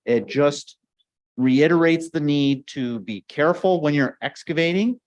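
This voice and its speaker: A man's voice: fairly high pitch, a little slow, no room sound, highs cut off. A man, apparently the fire chief, reporting to council in an earnest, upbeat way and often repeating phrases. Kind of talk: staff report at council meeting. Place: council chamber.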